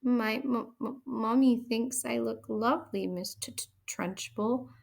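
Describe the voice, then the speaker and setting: A woman's voice: on the high side, a little slow, creaky, pitched above the performer's own voice, full character voice. A meek girl of about ten from a children's story, played by a woman reader. She stammers with fear in a slightly raised voice, tripping on the starts of words. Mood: terrified, timid. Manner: stuttering. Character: frightened ten-year-old girl, timid and meek.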